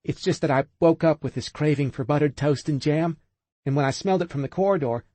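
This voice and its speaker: A man's voice: a little high, brisk, darkish tone, no room sound, voice pushed up, natural voice. A man voicing a bubbly, apologetic young woman in an audiobook. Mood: flustered.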